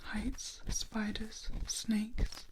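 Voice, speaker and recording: Slow, whispering vampire woman, acted for ASMR. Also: on the high side, little pitch movement, low-quality recording. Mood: quietly musing. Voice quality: breathy, mostly whispered. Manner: slow, hushed, pausing between short phrases. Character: gentle female vampire, wary of witches and humans. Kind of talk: soft-spoken ASMR roleplay.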